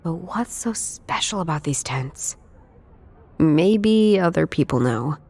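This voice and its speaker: A low-pitched female ex-detective in a noir adventure game. She is dry and guarded, with a deadpan, sardonic edge and an understated delivery. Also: takes it slowly, slightly rough, full character voice. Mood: musing, observant. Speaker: video game protagonist. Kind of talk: scripted visual novel voice acting.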